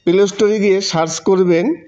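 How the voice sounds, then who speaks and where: Bengali guy doing a phone tutorial voiceover, kinda high voice, muffled lo-fi sound, walks you thru each step. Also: fairly even intonation, a little fast, a little mellow, faint music behind. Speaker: tutorial presenter. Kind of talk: step-by-step screen tutorial narration. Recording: dull, muffled lo-fi voice-over.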